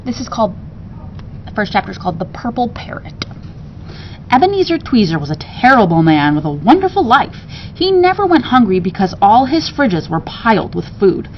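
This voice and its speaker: A woman's voice: a little high, very animated, normal speed, muffled recording, a little hiss or hum. A woman reading a children's story aloud expressively, like a teacher to students, with casual asides.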